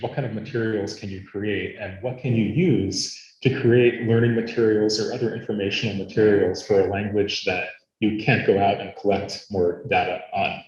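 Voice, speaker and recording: Man, Arizona-raised, native Latvian, lowish voice, lecturing, echoey hall. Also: darkish tone, dull audio. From Arizona, United States. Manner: measured, with frequent fillers. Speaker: academic speaker. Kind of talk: conference lecture.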